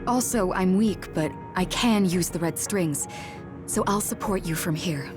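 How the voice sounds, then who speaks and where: A woman playing a calm, supportive young female guide in an English game dub, over music. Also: fairly low pitch, measured pace, lightly acted. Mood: calm, explaining.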